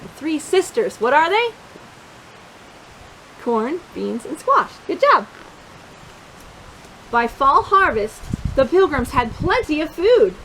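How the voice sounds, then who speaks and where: Woman, high voice, lively storytime delivery, addresses children directly, encouraging, faint background hiss. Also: slow pace, long pauses, low-quality recording. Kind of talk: animated picture-book read-aloud with questions to young listeners.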